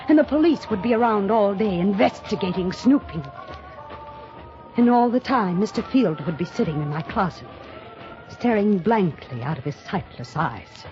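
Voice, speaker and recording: An actress playing an anxious young woman hiding a crime, speaking dramatically and deliberately in a 1950s radio mystery. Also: medium pitch, lightly acted. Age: young adult. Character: anxious young woman hiding a murder, narrating her ordeal. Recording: muffled old radio broadcast, music behind.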